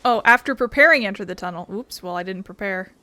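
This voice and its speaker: A woman's voice: mid-range voice, animated, quick pace, sharp tone. A woman giving casual, wry let's play commentary and reading game text aloud. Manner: informal, wry. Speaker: game streamer. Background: game music.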